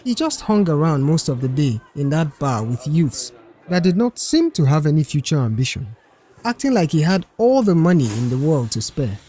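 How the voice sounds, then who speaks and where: A man's voice: on the high side, sing-song, normal speed, dark tone. A man voicing a weary, chatty middle-aged male mechanic and proud family man in an audiobook, talking earnestly. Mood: resigned, disapproving. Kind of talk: audiobook character dialogue.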